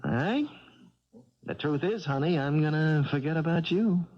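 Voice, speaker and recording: Actor as swaggering, animated young prizefighter. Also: male voice, fairly high pitch, normal speed, natural voice. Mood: glib, evasive. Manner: breezy, slangy, glib. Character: cocky, smooth-talking young prizefighter and ladies' man. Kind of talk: 1970s radio drama acting. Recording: dull vintage radio recording.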